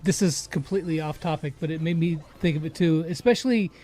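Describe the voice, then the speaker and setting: A woman's voice: low-pitched, quick pace, warm tone. A woman hosting a casual interview, informal and affirming as she chats with her guest. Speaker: interviewer, host.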